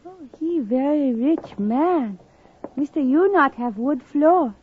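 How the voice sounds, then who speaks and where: High-voiced, humble Chinese servant girl. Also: animated, takes it slowly. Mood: obedient. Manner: broken English, short simple phrases. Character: humble, devoted young Chinese slave woman in the Old West. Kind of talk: 1950s radio western acting. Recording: dull, noisy 1950s radio recording.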